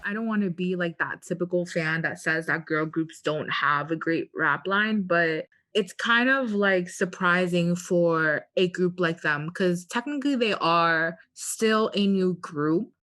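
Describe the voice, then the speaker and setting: A woman's voice: lowish voice, a little slow, hardly any pauses, fairly bright tone. A woman co-hosting a podcast in a casual, opinionated way, with sassy, slangy talk. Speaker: podcast co-host.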